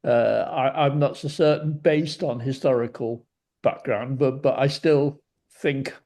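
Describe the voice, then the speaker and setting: A man's voice: mid-range voice, steady tone, a little slow, darkish tone. A Welsh man whose first language is Welsh, asking a question on a video call, speaking hesitantly with many uhs and ums. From Wales. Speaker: audience member asking a question.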